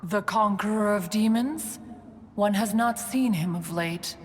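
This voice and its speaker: A woman's voice: mid-range voice, measured pace, lightly acted. A woman playing a stately, doting and self-important immortal mountain guardian in a fantasy game, with formal archaic diction. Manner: formal archaic diction, refers to herself as "one". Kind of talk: scripted fantasy game dialogue. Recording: long hall reverb, music underneath.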